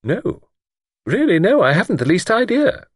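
A genteel elderly spinster, acted by a male narrator, in a high, lilting voice. She is prim and proper, anxious and hesitant, choosing her formal words with care, as in a storybook. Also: big pitch swings, moderate pace, breathy edge, dry recording, clean studio sound, heavily acted. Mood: flustered denial. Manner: prim, careful, formal phrasing. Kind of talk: audiobook character voice.

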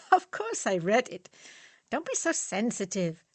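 A woman voicing a lanky, brash young male student who teases his friends and talks loudly. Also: fairly high pitch, huge pitch swings, a little slow, dry recording, full character voice. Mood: teasing. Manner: brash, teasing.

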